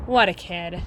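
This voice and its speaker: A woman playing a wistful, guarded female half-spider bouncer in an intimate roleplay monologue, musing with dramatic pauses and soft trailing phrases. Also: lowish voice. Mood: sardonic, teasing. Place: close-miked recording with music playing behind.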